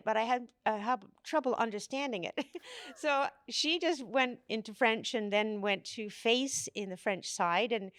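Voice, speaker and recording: Woman, 50s, animated storyteller, dry close mic. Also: mid-range voice, dry recording. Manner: animated storyteller, quoting voices. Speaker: interviewee. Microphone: stand mic close by.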